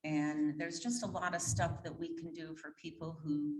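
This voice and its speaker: A woman's voice: low-pitched, fairly even intonation, moderate pace, darkish tone. Chatty woman in an echoey room. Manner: chatty, self-deprecating. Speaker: club member introducing speaker. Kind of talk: introduction speech.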